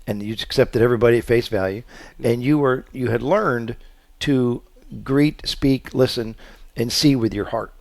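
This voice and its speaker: A man co-hosting a casual, supportive conversation, speaking haltingly as he restates and affirms what he hears. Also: mid-range voice, dry recording. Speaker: podcast co-host.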